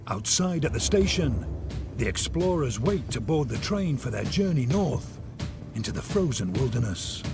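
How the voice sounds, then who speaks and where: A man's voice: mid-range voice, very animated, normal speed, gravelly. A man reading scripted, dramatic documentary narration. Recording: loud music under the voice.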